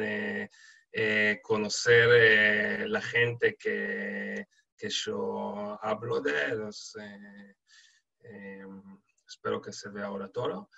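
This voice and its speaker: A man from Israel speaking with an Israeli accent, giving an online lecture with frequent halting "eh" fillers. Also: on the low side, gravelly, bright, forceful tone, slightly rough. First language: Hebrew. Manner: halting, many hesitant "eh" fillers. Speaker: guest speaker. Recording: lo-fi video call audio.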